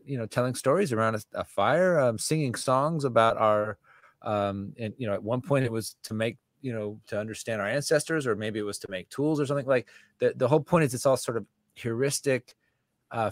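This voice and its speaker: Scratchy low-voiced guy in his 40s, self-deprecating, dead dry studio mic at home. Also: no room sound. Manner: animated, self-deprecating, swears casually. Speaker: musician and author, guest. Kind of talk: candid conversational interview. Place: home room with a studio microphone. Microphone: large studio condenser mic on a stand.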